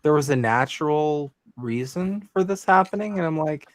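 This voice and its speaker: Casting: male panelist, higher-than-average voice, dry close sound, casual sweary storyteller who acts out quotes. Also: animated, normal speed, no echo. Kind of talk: casual political panel chat. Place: online group call.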